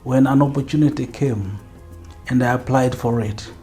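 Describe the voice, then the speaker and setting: A man from Kenya, a missionary and aviation professional, speaking calmly and sincerely in a documentary interview. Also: mid-range voice, moderate pace, faint music behind.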